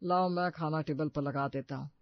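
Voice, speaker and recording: A man's voice: fairly high pitch, steady tone, normal speed, dry recording, lo-fi sound, close to an everyday voice. A man voicing a kindly, playful older father-in-law in a cartoon moral story. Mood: helpful. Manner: encouraging, playful.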